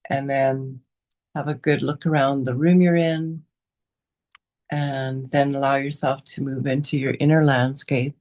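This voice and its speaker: A woman guiding a meditation as a teacher, giving unscripted gentle instructions in short, unhurried phrases with pauses between them. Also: deep voice. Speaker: meditation and yoga teacher leading a guided session.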